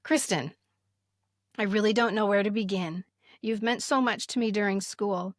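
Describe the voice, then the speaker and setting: A woman's voice: mid-range voice, moderate pace, no echo, clean studio sound. A woman in her fifties speaking as a podcast host, telling stories candidly in casual chat and sometimes reading aloud. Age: 50s. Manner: candid, chatty storytelling.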